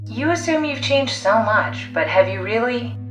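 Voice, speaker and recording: A woman's voice: on the high side, expressive, moderate pace, lightly acted. A woman playing a pragmatic, driven, blunt scientist in a video game, analytical, matter-of-fact and persuasive. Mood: reflective. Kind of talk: video game voice acting, naturalistic dialogue. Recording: echoey sound. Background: faint music.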